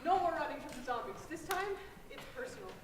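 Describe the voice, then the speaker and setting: A woman's voice: extremely high pitch, expressive, fast talker. A woman playing a bossy, melodramatic young woman in an amateur stage comedy, projecting with emphatic delivery. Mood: melodramatic, defiant. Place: school theater stage, distant microphone. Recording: very echoey hall, distant, noisy.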